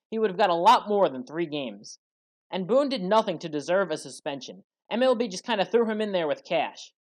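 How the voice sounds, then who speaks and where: A woman reading scripted play-by-play commentary for a sports highlights recap in a matter-of-fact way that lifts on key moments.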